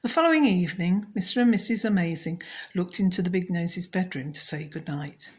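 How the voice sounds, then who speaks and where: A woman storyteller narrating a children's story in a measured, scripted read. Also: on the low side, darkish tone.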